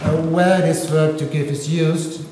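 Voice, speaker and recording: Dutch-native male lecturer, patient explaining, very echoey hall, faint background noise; steady, mid-pitched voice. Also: a little slow. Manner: explanatory, checks understanding with frequent "right?". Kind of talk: university lecture on linguistics. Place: lecture room.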